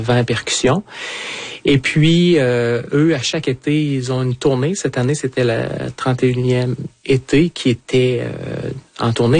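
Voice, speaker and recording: A man's voice: medium pitch, creaky, darkish tone, no echo. A middle-aged man with a Quebec French accent, answering in a radio interview as a trumpeter and conductor, hesitant with many fillers and restarts.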